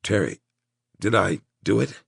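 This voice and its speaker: A man voicing a wounded, groggy tough man in an audiobook, hoarse, mumbling and halting. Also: on the low side, expressive, fairly bright tone, no room sound, clean studio sound, voice pushed up, exaggerated voice. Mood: dazed, strained.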